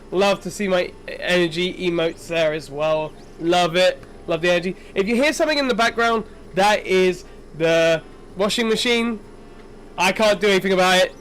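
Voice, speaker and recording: Congested high-voiced young male streamer. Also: fairly bright tone, slight background noise. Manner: chatty, rambling, friendly with chat. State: congested from a sinus infection. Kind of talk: casual live-stream chat. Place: home room.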